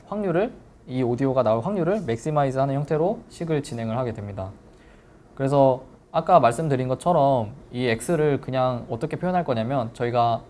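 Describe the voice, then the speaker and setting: Technical lecturer, mid-pitched and mellow, explains methodically to a class; light background noise on the recording. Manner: explanatory, step-by-step, checking back with listeners.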